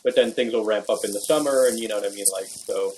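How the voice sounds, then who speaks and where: A young man, a bass player, chatting loosely in a podcast interview, filling his talk with "like" and "you know". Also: quick pace, a little mellow, slight background noise. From Venezuela. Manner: rambling, full of "like" and "you know".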